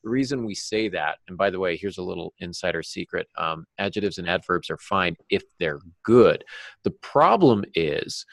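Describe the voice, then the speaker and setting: A man co-hosting a podcast, explaining casually with halting false starts and small jokes. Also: low-pitched, animated, vocal fry, no echo. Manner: joking, hesitant false starts. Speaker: podcast co-host, novelist.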